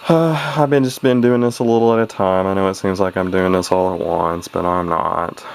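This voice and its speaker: A man's voice: deep voice, normal speed, gravelly, clean tone. A man casually narrating his own hands-on radio repair video in a rambling, folksy way, often correcting himself as he goes. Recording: noisy handheld camera home video.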